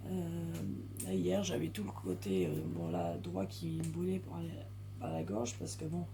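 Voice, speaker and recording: A French woman with a strained, gravelly voice talks casually to her coloring audience, and her pitch swings widely. She rambles and hesitates, stopping and restarting mid-thought. A faint hiss sits under the recording. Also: big pitch swings, unhurried, warm tone. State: hoarse, strained voice. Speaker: coloring channel host. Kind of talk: casual chat to viewers while coloring.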